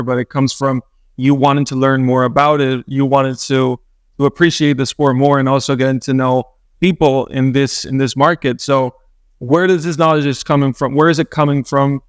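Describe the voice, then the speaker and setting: A man's voice: medium pitch, clean tone, dry recording, clean studio sound. A man hosting an in-person podcast interview, effusive and enthusiastic, slipping in the odd Spanish phrase, speaking into a handheld mic. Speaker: podcast host.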